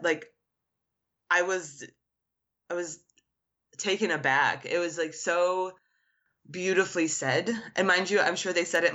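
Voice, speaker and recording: A woman's voice: low voice, a bit bright, dull audio. A woman artist whose first language is Arabic, answering interview questions in an earnest, reflective way, with frequent "like" fillers. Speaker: artist guest.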